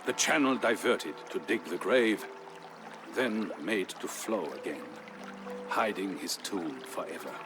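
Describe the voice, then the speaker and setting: A deep, grave Gothic warrior chieftain. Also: male voice, lively intonation. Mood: somber, brooding. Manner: solemn, measured, theatrical storytelling. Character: grave Visigothic warrior chieftain, the dead king's brother-in-law, recounting history. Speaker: story narrator. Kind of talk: dramatic scripted narration for a strategy game. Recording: narration over prominent music.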